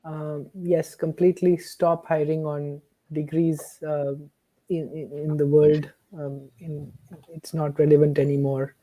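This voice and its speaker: Mellow, even-toned guest on a video call. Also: mid-range voice, measured pace, low-quality recording. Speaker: panel guest. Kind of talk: casual online discussion.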